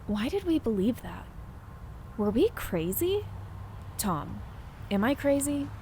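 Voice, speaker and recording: A woman's voice: a little high, very animated, takes it slowly, mellow, heavily acted. A woman playing a flippant, impatient grown sister with a sarcastic manner in an audio drama. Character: flippant adult sister, impatient, sardonic, bossy. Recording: clear ambient noise behind.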